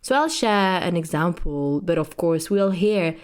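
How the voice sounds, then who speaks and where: A woman's voice: on the low side, animated, measured pace, clear, resonant tone. A woman from France hosting a podcast, speaking in an earnest, reflective monologue with frequent "you know" and "um". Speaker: podcast host.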